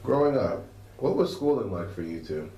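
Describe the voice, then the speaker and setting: Male interviewer, deliberate questions, lowish voice, far from mic, echoey room. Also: sing-song, mellow, dull audio. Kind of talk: oral history interview questions. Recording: echoey room, distant from mic, faint noise.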